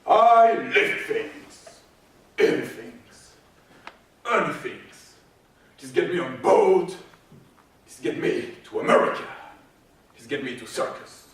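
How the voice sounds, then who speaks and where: Man playing a slow, emphatic circus laborer. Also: on the high side, sing-song. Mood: driven. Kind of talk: readers theater performance. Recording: very echoey hall, distant, faint noise.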